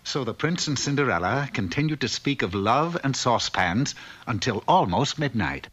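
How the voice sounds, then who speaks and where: A man's voice: medium pitch, lively intonation, moderate pace, fairly bright tone, breathy edge. A man playing a droll storybook narrator, reading scripted fairy-tale narration for a cartoon. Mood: wry. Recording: dull lo-fi old cartoon soundtrack.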